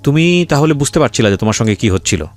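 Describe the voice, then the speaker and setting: A man's voice: mid-range voice, expressive, fast, soft background music. A man with a Bangladeshi accent hosting an interview, asking probing questions in a directive way and mixing in English words. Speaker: show host interviewing guests.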